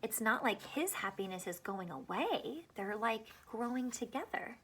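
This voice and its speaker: A woman's voice: on the high side, expressive, unhurried, fairly bright tone, slight background noise. A woman teacher reading a picture book aloud to children, adding encouraging asides and questions.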